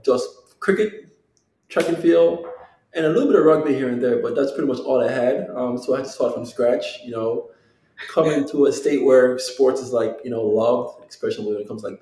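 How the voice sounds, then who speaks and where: Fast-talking mellow man in his thirties. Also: medium pitch, steady tone, roomy sound, poor audio. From Jamaica. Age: 30s. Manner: repetitive, peppered with "you know". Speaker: football player, interview guest. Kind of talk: casual interview talk.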